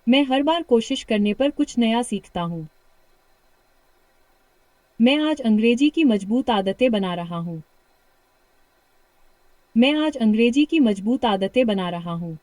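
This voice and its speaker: A woman with a British accent coaching a shadowing practice lesson, full of encouragement and reading practice sentences slowly and repeatedly. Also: a little high, lively intonation, a little mellow, dry recording. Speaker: English speaking coach.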